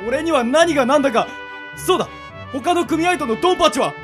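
A very high, excitable voice over music: a devoted gangster underling in a radio drama. He is rough but polite, stammering and always close to overwrought. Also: brisk. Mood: bewildered. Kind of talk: amateur internet radio drama.

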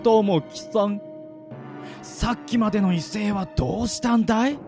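A man's voice: sits high, normal speed, music in the background. A man playing a gleeful, sadistic killer in an audio drama, with a taunting, giggly, sing-song drawl. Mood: smug taunting. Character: gleeful sadistic male killer, mocking and creepy. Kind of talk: anime-like audio drama acting.

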